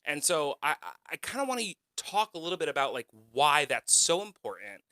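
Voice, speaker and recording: A man's voice: on the high side, very animated, a little fast, sharp tone, dry recording, studio quality. A man hosting a podcast, earnestly retelling a story and leading into a question. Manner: storytelling, earnest, quotes others. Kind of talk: podcast conversation.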